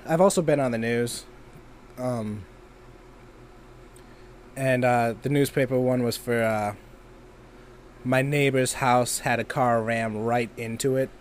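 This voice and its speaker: A man's voice: mid-range voice, a little mellow, a little hiss or hum. A young man from Minnesota, an amateur voice actor, chatting casually in a vlog with lots of ums and loose, self-deprecating asides. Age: young adult. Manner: rambling, filler-heavy, self-deprecating.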